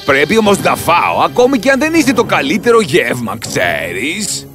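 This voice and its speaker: A man voicing a hungry, menacing but gullible wolf with a gravelly voice in a children's fairy-tale cartoon. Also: fairly high pitch, huge pitch swings. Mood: grudging. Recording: loud music behind the voice.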